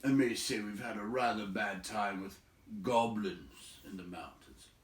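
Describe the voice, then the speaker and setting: A man voicing a courteous, cautious old storybook wizard who speaks politely and haltingly. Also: on the low side, close to an everyday voice. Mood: courteous, pleading. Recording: echoey room, distant from mic.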